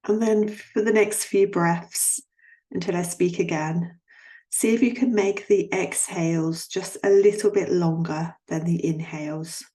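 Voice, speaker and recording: Woman with a lowish voice doing guided relaxation, gentle and soothing, lots of long pauses. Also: fairly even intonation. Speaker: yoga nidra relaxation guide.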